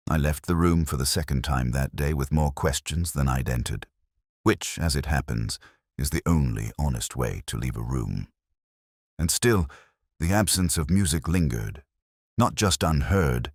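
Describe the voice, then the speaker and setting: A man narrates detective fiction in a very low, deep voice. His delivery is measured and reflective, yet the pitch moves with lively variation. The sound is close and dry. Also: husky, no room sound. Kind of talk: scripted first-person detective story narration.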